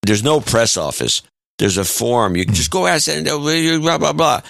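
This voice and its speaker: A man's voice: mid-range voice, moderate pace, creaky, fairly bright tone, no room sound, clean studio sound. A man co-hosting a podcast in casual chat, blunt and opinionated, often breaking off and restarting. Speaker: podcast co-host.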